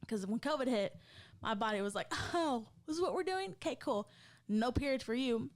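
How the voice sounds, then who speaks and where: A woman's voice: a little high, animated, moderate pace. A woman in her thirties co-hosting a podcast, chatting casually and rambling with lots of fillers and frequent laughs. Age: 30s. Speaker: podcast co-host. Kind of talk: casual chat.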